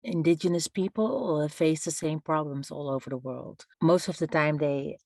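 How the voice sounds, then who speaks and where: A woman, a human rights lawyer answering interview questions in a measured, thoughtful way with frequent hesitations. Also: low-pitched, darkish tone, no echo. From Netherlands. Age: 50s.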